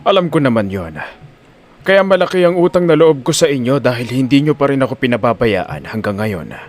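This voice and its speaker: A man's voice: medium pitch, sing-song, a little fast, close to an everyday voice. A man playing a wealthy, plain-spoken old man in an audio drama, resigned and matter-of-fact, with a Filipino accent. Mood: grateful.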